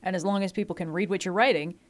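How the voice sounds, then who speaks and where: A woman hosting a casual podcast, lively and quick to laugh at herself. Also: fairly low pitch. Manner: lively, laughing, self-deprecating. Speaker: podcast host. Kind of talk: casual chat.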